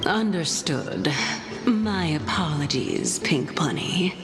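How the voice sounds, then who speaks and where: A playful game sage with a slightly low voice that glides widely in pitch. She teases with smug assurance and takes her time over each phrase. Music plays underneath. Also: expressive, slow pace. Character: playful, teasing, self-assured female sage. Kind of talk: video game character acting.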